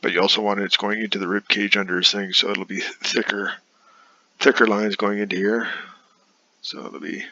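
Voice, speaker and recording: A man with a cold, a bit congested, casually talking through an inking demonstration as he works, with soft muttered asides. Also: fairly low pitch, moderate pace, dull audio. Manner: relaxed, talks while working, soft asides. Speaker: comic artist demonstrating inking.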